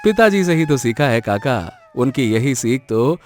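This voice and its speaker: A man's voice: mid-range voice, sing-song, measured pace. A man voicing a cheerful, generous grown son in a village cartoon story, respectful and good-humoured. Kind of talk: Hindi cartoon story dialogue.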